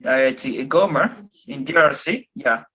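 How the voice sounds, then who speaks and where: A man's voice: mid-range voice, slow pace, sharp tone, breathy edge. A young man from the Democratic Republic of Congo, a student, speaking hesitantly with many fillers. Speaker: attendee, student. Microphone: video call. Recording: dull video-call audio.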